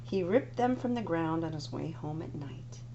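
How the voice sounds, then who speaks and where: Lady librarian at story time, low gravelly voice, swoops up and down, whispers the creepy bits. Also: animated, normal speed, dark tone. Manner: dramatic, dropping to whispers for spooky parts. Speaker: children's librarian. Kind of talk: reading a picture book aloud. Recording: dull lo-fi recording with noise.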